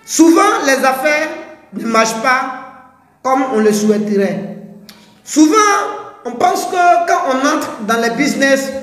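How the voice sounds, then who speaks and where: An echoey recording of a high-voiced man. He speaks as a motivational business coach, exhorting his listeners. Key phrases come back again and again for emphasis. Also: lively intonation, slow, fairly bright tone. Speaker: livestock-farming entrepreneur and coach. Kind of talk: motivational advice talk.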